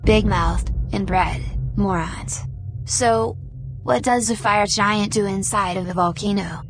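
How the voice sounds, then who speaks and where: A woman's voice: mid-range voice, fairly even intonation, takes it slowly, music in the background. A woman voicing a cocky, taunting adventurer with a love of fire, in a wry, teasing manner for a fantasy game movie.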